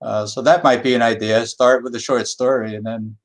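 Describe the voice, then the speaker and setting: A man's voice: medium pitch, fairly even intonation, brisk. A man speaking casually as a playwright on a video-call panel, unassuming and a bit hesitant.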